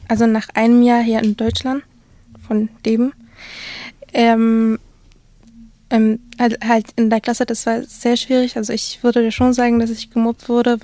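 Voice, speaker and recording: A woman's voice: fairly high pitch, mellow, no room sound. Hesitant woman, a native Arabic speaker. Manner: hesitant, many fillers and restarts. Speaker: guest. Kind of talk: personal account in a discussion.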